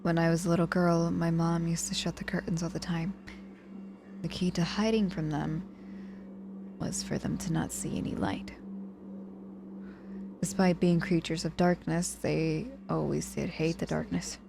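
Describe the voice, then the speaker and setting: A woman acting a proud, guarded young witch hurt in hospital, halting and grudgingly tender, in an intimate ASMR audio roleplay. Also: on the low side, flat delivery, creaky, noisy background. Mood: quiet, confiding.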